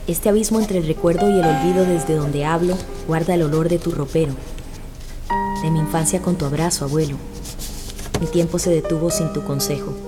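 Lower-voiced woman reciting poetry over music. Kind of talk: poetic recitation.

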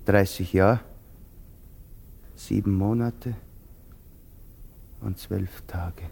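An actor in a German radio play voicing a resigned, melancholy soldier of thirty, halting and brooding. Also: male voice, lowish voice, steady tone, vocal fry, a little mellow, husky, full character voice. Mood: halting, reciting. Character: resigned, haunted thirty-year-old common soldier, simple and melancholy.

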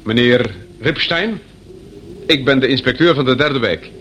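A fussy, officious police inspector acted by a man in a radio drama, with a medium-pitched voice. He stammers awkwardly and apologetically, circling back over his words, on a dull-sounding old recording. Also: a little slow, fairly bright tone, slight background noise, lightly acted. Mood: awkwardly polite. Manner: hesitant, stammering, apologetic, repetitive. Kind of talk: radio play acting.

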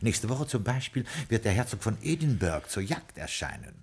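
An actor playing an unflappable, condescending British butler in a radio play, formal, clipped and deadpan. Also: male voice, mid-range voice, moderate pace, slight background noise. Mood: haughty, formal. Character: haughty, unflappable British butler of a Scottish castle. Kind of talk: 1960s German radio play acting and narration.

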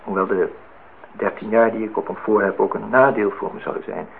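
An earnest man in his thirties, in love and full of self-reproach. His voice is creaky and he speaks in formal, halting, reflective phrases. It is a radio play with a narrow, telephone-thin sound. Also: fairly low pitch, fairly even intonation. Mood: earnest, resigned. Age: 30s. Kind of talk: radio drama acting. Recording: thin phone-like sound, noisy old radio recording.

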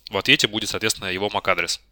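Man with a very bright, sharp voice. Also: lowish voice, rapid-fire, no echo. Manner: hesitant, self-correcting. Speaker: job candidate, network engineer. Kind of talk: answering technical interview questions.

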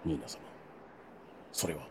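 An actor playing a loyal, solemn knight captain in a fantasy audio drama, speaking in formal, deferential courtly language. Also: male voice, moderate pace, noisy background, close to an everyday voice. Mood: grave.

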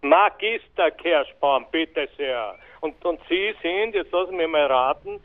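Smarmy austrian bureaucrat on a tinny phone line, officious, highish voice, sing-song swings. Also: male voice, lively intonation, measured pace. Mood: condescending. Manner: hesitant stalling, officious. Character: slippery, condescending male political aide. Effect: telephone filter. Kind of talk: radio drama acting.

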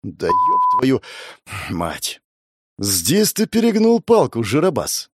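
Audiobook antihero, voiced by a male narrator: young demon summoner, scornful and commanding, slightly rough tone, dry sound. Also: on the high side, huge pitch swings, slow, fairly bright tone, no echo, pitched above the performer's own voice, heavily acted. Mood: contemptuous. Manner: contemptuous, commanding, sighing.

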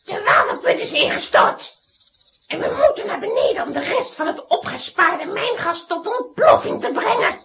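A woman playing a vengeful, scheming witch in a children's radio play, commanding and gloating in a shrill, creaky voice. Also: big pitch swings, moderate pace, noisy background, full character voice. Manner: theatrical, gloating, declamatory. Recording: roomy reverberant sound.

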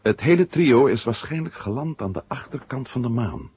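A man's voice: mid-range voice, expressive, normal speed, a little mellow, breathy edge, no room sound, dull audio. An actor playing a wry, confiding male journalist in a 1970 science-fiction radio drama. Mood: urgent, confiding. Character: adult male journalist, blunt, wry, worried about his brother.

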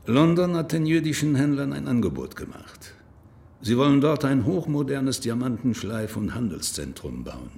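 A man's mid-pitched voice an actor's as a grave Belgian official in a radio crime drama. He speaks formally and deliberately, pressing his point. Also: creaky, darkish tone, close to an everyday voice. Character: grave, insistent male Belgian government official. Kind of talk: naturalistic radio drama acting.

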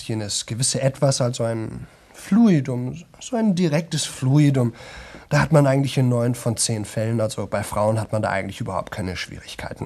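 A man's voice: medium pitch, darkish tone. An actor playing a boastful young man who talks nonstop to an audience in a radio play, chatty, rambling and repetitive. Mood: smug. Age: young adult.

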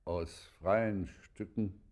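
An actor playing a pompous, officious district magistrate in a German radio play, speaking with formal courtesy and measured bureaucratic authority. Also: male voice, deep voice, steady tone, darkish tone. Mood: formally courteous, warning.

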